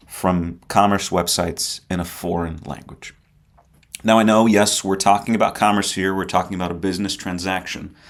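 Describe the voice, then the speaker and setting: Low-voiced man giving a conference talk. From Egypt. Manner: conversational, often adds tag questions. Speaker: conference speaker.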